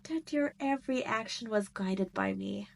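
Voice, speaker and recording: A woman's voice: fairly high pitch, moderate pace, creaky. A woman playing a cruel, gloating demon queen in a scripted audio roleplay, condescending and taunting. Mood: taunting, possessive.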